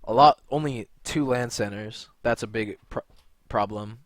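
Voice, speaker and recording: A man's voice: fairly low pitch, measured pace, dry recording. A young man from California talking in a rambling, blunt monologue, full of fillers and restarts. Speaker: video creator and gamer. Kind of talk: unscripted monologue to camera.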